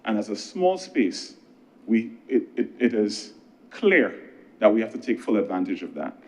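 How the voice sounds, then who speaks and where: Low-voiced Barbadian man giving a speech. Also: sing-song, moderate pace, a little mellow. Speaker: government minister. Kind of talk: political speech in parliament with anecdotes.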